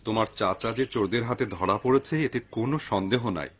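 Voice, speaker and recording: A man playing a cautious, pious father in a dubbed cartoon, grave, measured and admonishing. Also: lowish voice, a little hiss or hum, natural voice. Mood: wary.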